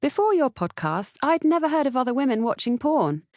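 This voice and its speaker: A woman's voice: high voice, very animated, brisk, a little mellow, no echo. British woman narrating, dry close sound. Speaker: author-narrator reading audiobook, podcast co-host. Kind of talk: scripted audiobook narration.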